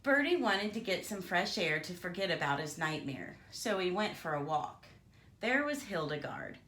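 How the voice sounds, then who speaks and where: A woman's voice: lowish voice, sing-song, normal speed, a bit bright, reverberant. A woman reading a children's storybook aloud expressively and theatrically, as if to young listeners. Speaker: storybook reader.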